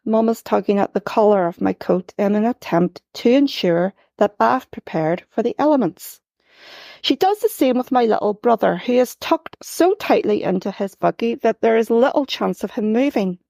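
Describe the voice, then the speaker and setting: A woman reading her own first-person story aloud at a measured pace, gently expressive, lifting her voice for lines of dialogue. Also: a little high, vocal fry, dry recording.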